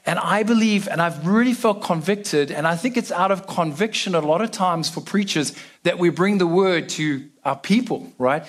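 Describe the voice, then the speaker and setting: South african man, young, preacher, chatty and self-mocking, slightly high voice. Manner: casual, self-deprecating, chatty with fillers. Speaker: guest preacher. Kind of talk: sermon to a church congregation.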